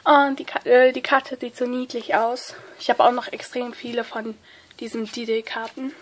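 Excited woman with a stuffy cold. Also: a little high, normal speed, a little hiss or hum. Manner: chatty, hesitant, many fillers, excited. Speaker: vlogger opening a card-swap letter. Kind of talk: casual unboxing chat, reading letters aloud. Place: indoors at a table, hands-only overhead view.

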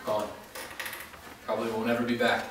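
A man playing a quiet, resigned young swordsman who is part vampire, read at a staged reading in a large, echoey studio. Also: medium pitch, monotone, normal speed, close to an everyday voice. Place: large indoor rehearsal studio. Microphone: far camera mic. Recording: noisy echoey studio from far off.

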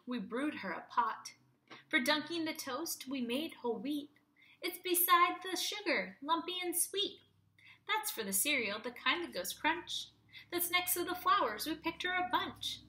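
A young woman with a high, bright voice reads to little children as a teacher would. Her delivery is sing-song and expressive, shaped for a picture book. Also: measured pace. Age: young adult. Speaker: teacher reading aloud to children.

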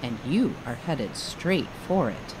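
A woman's voice: rumbling low, big pitch swings, slow, background noise, pitched below the performer's own voice, full character voice. A woman voicing a worried, frantic male toucan in a storybook, urgent and exclamatory.

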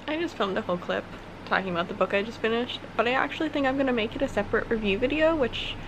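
A woman's voice: a little high, fast talker. A young woman vlogging casually about her reading from her bedroom, congested and groggy, rambling with fillers. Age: young adult. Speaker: book vlogger. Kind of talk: casual vlog chat. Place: small bedroom indoors. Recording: noisy home recording.

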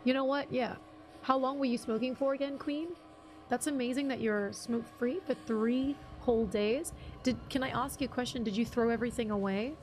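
A young woman with a husky voice, chatting casually with viewers on a game stream, rambling and restarting her sentences. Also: on the high side, fairly even intonation, brisk, a little hiss or hum. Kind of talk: casual live-stream chat reading viewer comments.

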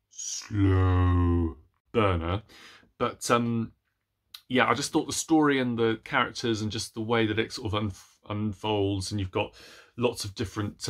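Young adult male, lowish voice, casual hesitant book-vlog chat, self-deprecating, recorded in a room at home. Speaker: book reviewer vlogger. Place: small room at home, indoors.

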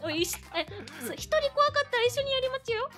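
High, bright, girlish woman streamer. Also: very animated, fast. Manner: cutesy, giggly, girlish. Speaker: streamer, hosting a call-in guest. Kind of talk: casual livestream chat. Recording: background music under the voice.

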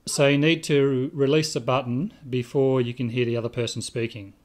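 A man's voice: mid-range voice, level tone, normal speed. A man, presenting scripted narration as the host of a video. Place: indoor room with bookshelves.

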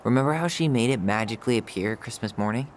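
A man's voice: medium pitch, lively intonation, fast, slight background noise, natural voice. An actor playing a wry, nostalgic young American man in naturalistic, casual video game dialogue. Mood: wistful, reflective. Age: young adult.

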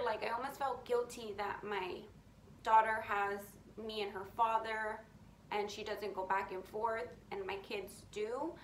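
Raspy, deep-voiced young woman vlogging. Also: fairly bright tone, roomy sound, a little hiss or hum, poor audio. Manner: open, rambling, heartfelt, many fillers like "you know". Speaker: beauty influencer. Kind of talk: candid sit-down vlog to camera. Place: bedroom at home, indoors. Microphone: camera in front at close range.

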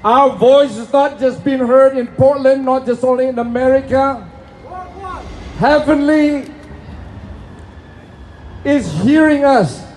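A man with an Indonesian accent preaches and prays in broken English at an outdoor plaza rally. His voice sits very high and his pace is slow and deliberate. Faint noise runs under a phone recording. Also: steady tone, a little mellow, rough recording. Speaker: speaker at a rally. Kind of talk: impassioned rally speech and prayer. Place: outdoor city plaza with a crowd.

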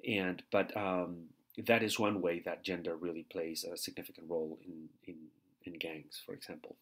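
A man's voice: deep voice, fairly even intonation, normal speed, fairly bright tone, husky. A man, giving a recorded university lecture as a teaching assistant, hesitant with many fillers and repeated words. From Peru. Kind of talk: recorded lecture over slides.

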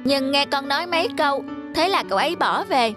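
A woman's voice: high voice, expressive, brisk, music in the background. A woman voicing an outspoken, naive little village schoolboy in cartoon dialogue. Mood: defensive. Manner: blunt, argumentative.

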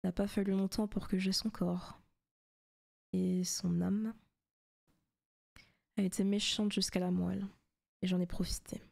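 Possessive female demon played by a woman, seductive ASMR roleplay: soft, intimate, teasing, frequent whispering. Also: medium pitch, level tone, a little slow, vocal fry, long pauses. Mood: sly, menacing.

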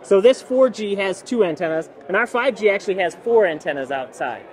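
A man in his forties giving a casual, keen product pitch as a company representative, explaining as he goes. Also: expressive, a little fast, poor audio. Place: busy indoor expo hall booth.

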